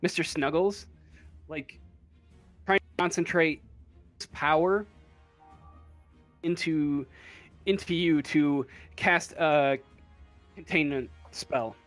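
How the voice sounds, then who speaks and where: A man acting as game master, improvising narration for a tabletop role-playing game in a halting way, thinking aloud. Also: on the high side, a bit bright, no echo, faint music behind.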